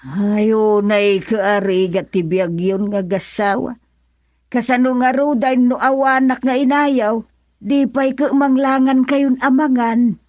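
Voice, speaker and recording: Older radio drama mother-in-law: fairly high voice, stern, reproachful, close dry recording. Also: fairly even intonation, slow, a little mellow, no echo. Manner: scolding, reproachful. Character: stern older mother-in-law, scolding her poor son-in-law. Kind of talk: Ilocano radio drama acting.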